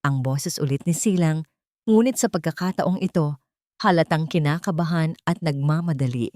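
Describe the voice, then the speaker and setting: Low-voiced woman narrating a drama. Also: moderate pace, warm tone, no echo, clean studio sound. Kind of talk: dramatic scripted story narration.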